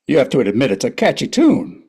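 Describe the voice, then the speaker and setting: A man's voice: mid-range voice, gravelly, a little mellow, husky, heavily acted. A man playing the wry, conversational narrator of a historical play at a table reading.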